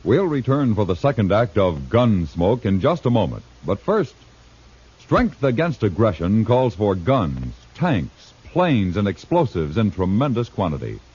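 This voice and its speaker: A male radio announcer in a 1950s style, formal and emphatic. His husky voice rises and falls dramatically on a muffled old broadcast recording. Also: on the low side, big pitch swings, unhurried, darkish tone.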